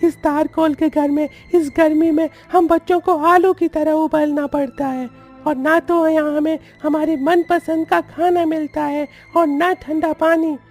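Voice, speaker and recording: A woman voicing a petulant, complaining poor young boy in an animated story. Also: high-pitched, a little slow, soft background music. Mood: angry, whining. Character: angry, whiny poor young boy Bunty. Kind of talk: animated moral story dialogue.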